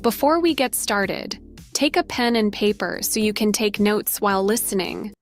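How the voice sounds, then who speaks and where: Female narrator for a recorded listening test, measured read, faint music bed. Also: mid-range voice, lively intonation. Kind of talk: scripted test instructions. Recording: clip with faint background music.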